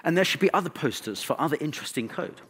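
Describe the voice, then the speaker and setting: A man's voice: fairly high pitch, expressive, fast, fairly bright tone, husky. Man giving an informal conference talk. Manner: informal, conversational, with wry asides. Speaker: conference speaker.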